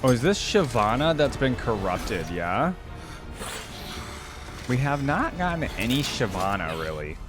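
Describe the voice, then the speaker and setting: Young guy reacting to game stuff, chatty, mid pitch, thinking out loud, music behind. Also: big pitch swings, unhurried, long pauses. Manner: musing, thinking aloud, conversational. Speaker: reaction video host. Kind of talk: casual reaction commentary. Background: game cinematic music.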